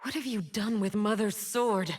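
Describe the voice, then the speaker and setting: A woman's voice: medium pitch, normal speed, darkish tone, close to an everyday voice. An actress voicing a bitter, scornful fallen-angel woman in game voice lines, dramatic and mocking.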